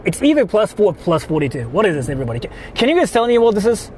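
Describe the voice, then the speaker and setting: A young Japanese man speaks English with a Japanese accent, casually and excitably, swearing freely as he chats with his viewers. His voice sits high and leaps all over the place. Loud street traffic runs behind him. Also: fast. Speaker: streamer. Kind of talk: casual live-stream chat. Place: outdoors on city streets.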